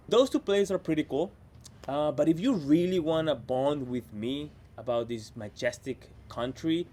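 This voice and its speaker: Spanish-accented Peruvian man, comic host, teasing monologue, mid-pitched. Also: slight background noise. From Peru. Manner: chatty, playful, teasing asides. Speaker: comedian and show host. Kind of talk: comedic monologue to camera. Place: home in Washington.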